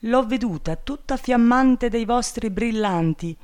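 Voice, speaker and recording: A storybook reader voicing a young imprisoned princess in a plaintive, theatrical lament, talking slowly. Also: mid-range voice, a little mellow, smooth, voice pushed up, natural voice. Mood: indignant. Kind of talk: storybook reading.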